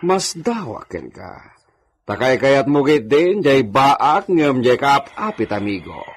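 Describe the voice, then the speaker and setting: Casting: genial rice dealer, Ilocano accent, rough voice, lively melody, radio serial. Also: male voice, mid-range voice, takes it slowly, exaggerated voice. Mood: businesslike, friendly. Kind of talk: Ilocano radio drama acting.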